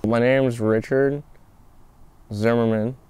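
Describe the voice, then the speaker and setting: A man's voice: mid-range voice, steady tone, slow pace, a little mellow, clear, resonant tone. A man in his early twenties casually telling his own story in an outdoor interview. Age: early 20s. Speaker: documentary interviewee. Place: outdoors in a garden.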